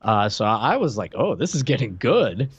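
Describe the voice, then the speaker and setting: A man's voice: medium pitch, sing-song, normal speed, highs cut off, noisy background. A man in his thirties co-hosting a casual review chat in a joking, irreverent way. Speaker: podcast co-host. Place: dim room at home, video call.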